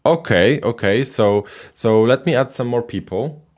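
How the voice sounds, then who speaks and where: A man hosting a live call-in language show, casual and halting, with many fillers and restarts. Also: medium pitch, darkish tone.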